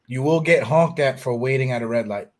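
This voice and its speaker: A man's voice: mid-range voice, brisk. A man hosting a live stream chat over a video call, laid-back and agreeable. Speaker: host.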